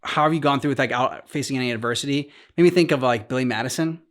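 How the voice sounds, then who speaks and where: A man hosting a reaction show, commenting casually in a rambling, halting way as he searches for words. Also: medium pitch, fairly bright tone. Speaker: host of a game reaction show. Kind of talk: casual chat, commentary on game cutscenes.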